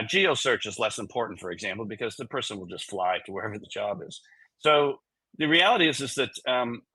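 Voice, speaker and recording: A man's voice: medium pitch, pushed and bright, slightly rough, dry recording, low-quality recording. A man talking as a chief executive guest in a conversational, plain-spoken and emphatic way. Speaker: tech company CEO, interview guest. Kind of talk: conversational explanation and anecdote.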